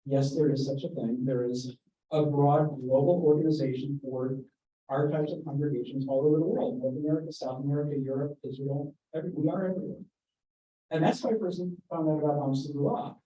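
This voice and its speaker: A man's voice: on the high side, a little fast. Man recalling his past on a panel, roomy and muffled. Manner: animated, restarts often. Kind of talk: personal recollection at a panel. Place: event room. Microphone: handheld microphone held away. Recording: roomy, distant, muffled event recording.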